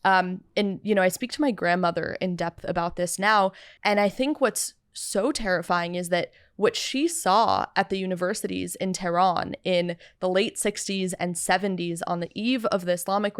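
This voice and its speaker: A woman's voice: on the low side, clear, resonant tone, no room sound. A young woman student speaking seriously and reflectively as a guest in a studio interview. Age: young adult. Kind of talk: conversational interview. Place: dark studio set with sofas. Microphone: studio mic on a boom arm.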